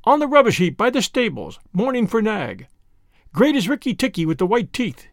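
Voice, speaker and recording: A man doing a high, gushing little songbird. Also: sing-song, normal speed, no echo, clean studio sound. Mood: fawning. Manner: high, sing-song and gushing. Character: excitable male tailorbird, small and feather-brained.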